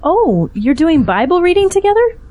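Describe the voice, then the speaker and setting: A woman's voice: extremely high pitch, sing-song, moderate pace, warm tone, a little hiss or hum. An actress playing a grateful, earnest middle-aged mother in an audio drama. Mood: grateful, delighted.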